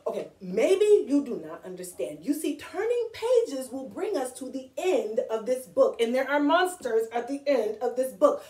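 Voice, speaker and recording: Woman playing a male storybook puppet monster, frantic, fearful, melodramatic, wildly swooping pitch, echoey distant recording. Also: big pitch swings, moderate pace, hardly any pauses, warm tone. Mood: insistent. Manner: melodramatic, emphatic, pleading. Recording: echoey room, distant from microphone.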